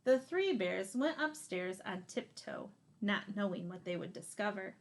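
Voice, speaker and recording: A woman in her thirties reads a children's picture book aloud expressively, leaning deliberately on key words. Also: medium pitch, lively intonation. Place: small room indoors.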